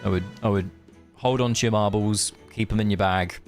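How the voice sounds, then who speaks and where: A man's voice: fairly low pitch, steady tone, moderate pace, soft background music. A man livestreaming a game, chatting casually and reading aloud in a laid-back, matey, jokey way. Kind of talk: casual chat and read-aloud game commentary. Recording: faint hiss.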